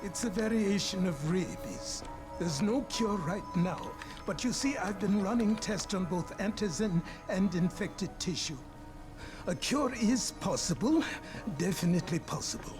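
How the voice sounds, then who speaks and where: Casting: obsessive game scientist, middle-aged man, high-pitched, absent-minded, precise and halting, music underneath. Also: lively intonation. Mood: preoccupied, insistent. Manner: distracted, halting, precise explanations. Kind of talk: video game cutscene acting.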